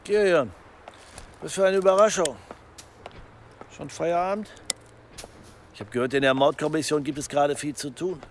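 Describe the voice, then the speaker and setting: An actor playing a sly, jovial nationalist police lieutenant in a radio play, with needling familiarity. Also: male voice, a little high, normal speed, pauses often, a little hiss or hum, close to an everyday voice. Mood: needling, guarded.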